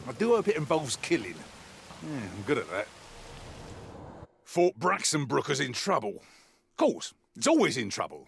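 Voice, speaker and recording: An actor playing a wry, world-weary mercenary soldier in a video game, with a colloquial, grumbling delivery. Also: male voice, mid-range voice, gravelly, a bit bright, slight background noise. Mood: rueful, game. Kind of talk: scripted in-character mission briefing monologue.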